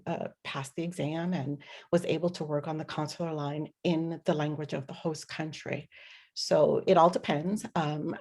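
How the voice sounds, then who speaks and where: A woman diplomat answering audience questions on a webinar panel, measured and explanatory, with frequent fillers. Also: low-pitched, gravelly, darkish tone.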